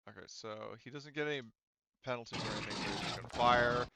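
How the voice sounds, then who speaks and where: A man's voice: medium pitch, fast talker, vocal fry, very noisy. A man running a tabletop roleplaying game as game master, explaining rules casually and thinking aloud.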